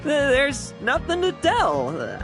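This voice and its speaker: An actor voicing a playful, sly young knight in a video game, with a teasing, theatrical delivery and a menacing edge. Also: male voice, very high voice, wildly swooping pitch, extremely slow, over music, full character voice. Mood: breezy.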